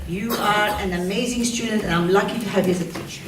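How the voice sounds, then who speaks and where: A woman's voice: mid-range voice, fast. A woman, a French teacher, giving an award speech at a school assembly and praising a student with feeling. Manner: warm, emotional praise of a student. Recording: echoey hall, far from the mic, noisy.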